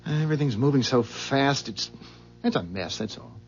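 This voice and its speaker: A man's voice: mid-range voice, lively intonation, normal speed, soft background music, lightly acted. An American actor playing an uneasy, earnest husband and company man in a 1970s radio drama. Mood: weary, worried. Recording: muffled, noisy vintage radio broadcast.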